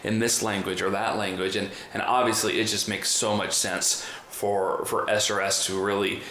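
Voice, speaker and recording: A man's voice: on the low side, level tone, normal speed, sharp tone. A man hosting a conversational podcast interview, talking in a chatty, wordy way. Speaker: podcast host and trainer. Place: indoor room in the US. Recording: roomy echo, faint background noise.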